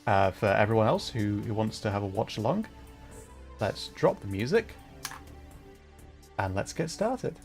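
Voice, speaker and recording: A man with a fairly low voice chats casually as he hosts a game stream. He is jokey and a little self-deprecating. Music plays softly behind him. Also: lively intonation, unhurried, pauses often. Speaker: livestreamer, host.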